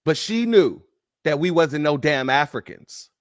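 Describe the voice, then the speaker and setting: Fiery high-voiced man preaching. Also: animated, unhurried, dry recording, clean studio sound. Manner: fiery, emphatic, profane, repetitive. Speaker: livestream host. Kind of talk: preaching-style livestream commentary.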